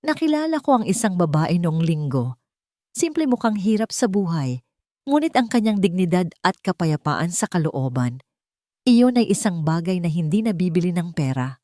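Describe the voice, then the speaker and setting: A woman acts out an older Filipino mother in an audio storybook. She is gentle, calm and wise, with a lowish pitch. The recording is dry. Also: no room sound. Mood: thoughtful. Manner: calm, unhurried, advising.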